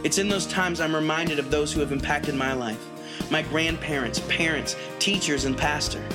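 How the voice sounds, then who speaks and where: Male band member, slightly high voice, earnest prepared reflection, loud music behind. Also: normal speed.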